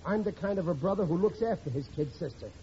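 High-pitched blustering radio husband, old noisy recording. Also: male voice, lively intonation, quick pace, highs cut off. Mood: indignant. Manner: blustery, mangles phrases. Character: blustering, indignant working-class husband and father. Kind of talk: 1940s radio sitcom acting.

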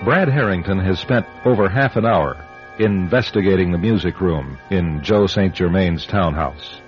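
Man as deep, solemn radio mystery narrator. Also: normal speed, natural voice. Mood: suspenseful. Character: solemn, suspenseful male storyteller of a mystery. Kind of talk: classic radio drama narration. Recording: muffled vintage radio, music behind.

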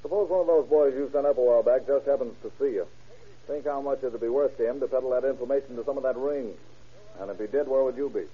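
A man's voice: medium pitch, quick pace, breathy edge, natural voice. An American man playing a wry, skeptical police detective in a radio crime drama. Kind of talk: old-time radio crime drama acting. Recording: muffled vintage radio broadcast.

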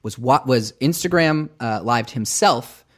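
A man co-hosting a podcast, telling stories excitedly with halting restarts. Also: medium pitch. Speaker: podcast co-host. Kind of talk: casual podcast chat.

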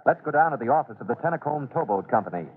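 A man's voice: mid-range voice, expressive, very fast. An American man narrating a vintage radio serial as announcer in a dramatic, declamatory manner. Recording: tinny, noisy vintage radio recording.

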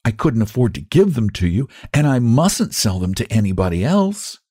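A man's voice: medium pitch, very animated, normal speed, mellow, slightly rough, no room sound, clean studio sound, pitched above the performer's own voice. A man voicing an innocent, earnest and polite little girl in a storybook, guileless and sweetly matter-of-fact. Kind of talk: storybook character voice.

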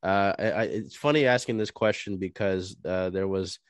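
A man's voice: deep voice, moderate pace, no echo. A man hosting a sports podcast interview, a little nasal and stuffy from a cold, who asks long, rambling questions. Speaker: podcast host. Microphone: video call.

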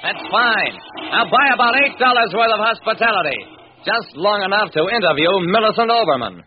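A man's voice: high voice, animated, a little fast, husky. An American man playing a wry, impatient private detective in an old-time radio drama, with clipped hardboiled narration. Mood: brisk, impatient. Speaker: narrator and lead actor. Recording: noisy, dull vintage radio recording.

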